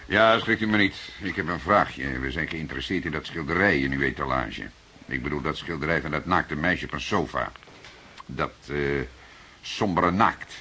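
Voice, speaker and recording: A man playing an unhurried, wry, stubborn veteran police detective in a radio drama, speaking in a deliberate, dry, fatherly way. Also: extremely low pitch, bright, forceful tone, heavily acted. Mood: polite, insistent. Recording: noisy archive radio drama recording.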